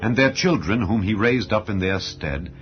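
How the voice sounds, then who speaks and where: A man narrating scripture as an audiobook in a solemn, measured, formal and declamatory way. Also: lowish voice, lively intonation. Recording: dull lo-fi audio with background music. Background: background music.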